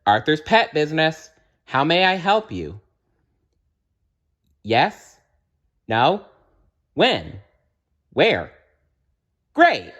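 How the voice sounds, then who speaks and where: Man doing an eager, earnest young boy, raised pitch. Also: wildly swooping pitch, extremely slow, pauses often, a bit bright, lo-fi sound, heavily acted. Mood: eager, excited.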